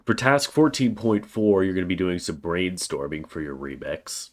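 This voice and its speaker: Very deep-voiced man with a cold. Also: normal speed. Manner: plain, steady delivery with frequent "uh" fillers. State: has a cold, slightly congested. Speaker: college writing instructor. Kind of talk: recorded course overview lecture.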